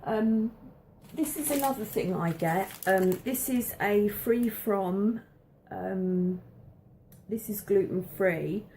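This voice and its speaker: A woman chatting casually to camera at home, with a slight cough and a dry throat, pausing often with ums and repeating words for emphasis. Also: mid-range voice, warm tone, a little hiss or hum. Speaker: vlogger showing a food haul.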